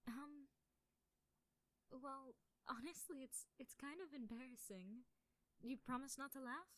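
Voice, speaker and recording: High-voiced shy, nerdy young girlfriend played by a woman. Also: unhurried, fairly bright tone, no room sound. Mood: nervous, gentle. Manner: hesitant, stammering, giggly when embarrassed. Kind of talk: soft intimate ASMR roleplay.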